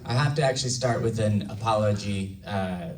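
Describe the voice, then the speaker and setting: A hoarse, slightly raspy man giving an earnest campaign speech at a candidate forum. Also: on the low side, normal speed, distant mic, a crowd in the background. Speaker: congressional candidate. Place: large event hall.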